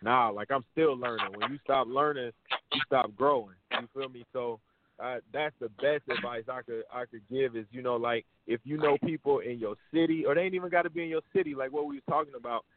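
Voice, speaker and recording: A man's voice: medium pitch, a little fast, background noise. An American man talking casually as an interview guest, a rapper giving earnest advice in slangy everyday speech. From United States. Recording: narrow tinny phone-like sound.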